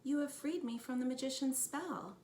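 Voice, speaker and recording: A woman reading a storybook, voicing a gentle, wise enchantress with measured, composed delivery. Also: high-pitched, pitched above the performer's own voice, close to an everyday voice. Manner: soft-spoken, measured. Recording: roomy, distant mic, faint noise.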